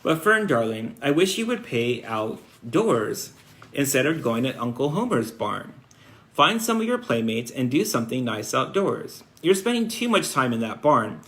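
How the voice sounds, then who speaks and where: A man's voice: mid-range voice, sing-song, moderate pace, a bit bright. A man reading aloud as a worried, stern farm mother in a storybook, scolding and anxious in manner. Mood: gently concerned.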